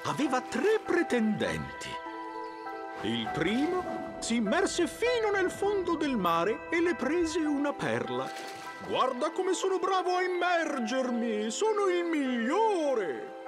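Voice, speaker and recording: An actor voicing a sentimental, theatrical bird who tells fairy tales in a cartoon with drawn-out, emphatic delivery. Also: male voice, very high-pitched, full character voice. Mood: boastful. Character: theatrical, sentimental wading bird storyteller with grand airs. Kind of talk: dramatic fairy-tale storytelling for a cartoon.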